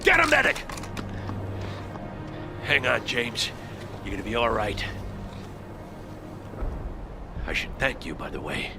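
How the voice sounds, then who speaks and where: A man playing a stern, authoritative army colonel in a military video game, with a gravelly, clipped delivery. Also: medium pitch, wildly swooping pitch, moderate pace. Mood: urgent, shaken. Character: commanding, stern middle-aged army colonel. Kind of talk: dramatic military game cutscene. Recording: music behind the voice.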